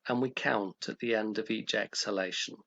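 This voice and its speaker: Low-pitched man guiding a meditation. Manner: calm, measured, gentle instruction with pauses. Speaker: meditation guide. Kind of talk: guided meditation instruction.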